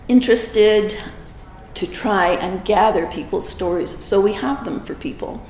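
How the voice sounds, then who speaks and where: A woman's voice: mid-range voice, a little mellow, slight background noise. A woman who heads a heritage society, speaking earnestly in an interview. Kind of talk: interview statement. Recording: echoey with faint ambience.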